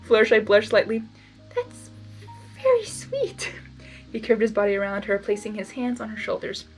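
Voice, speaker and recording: A woman reading fan fiction aloud in expressive storybook narration, with informal asides. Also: mid-range voice, quick pace, soft background music, poor audio.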